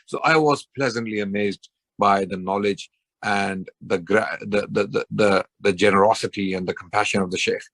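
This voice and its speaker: A man's voice: on the low side, fairly even intonation, moderate pace, a bit bright, no echo. A man giving an earnest spoken tribute to a scholar he admired, repeating phrases for emphasis. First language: not Persian. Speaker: Islamic preacher giving a tribute.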